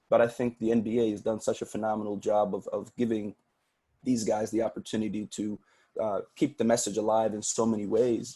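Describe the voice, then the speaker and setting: A man's voice: fairly low pitch, dark tone, slightly rough. A man from Sudan whose first language is Arabic, talking earnestly as a podcast guest and often repeating words as he starts a thought. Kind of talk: casual interview talk.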